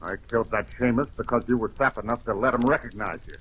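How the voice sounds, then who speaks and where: A man's voice: mid-range voice, fast, fairly bright tone, lightly acted. An actor playing a cold, threatening gangster boss in old radio crime drama, talking in clipped tough-guy slang. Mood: menacing, gloating. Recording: noisy old radio recording.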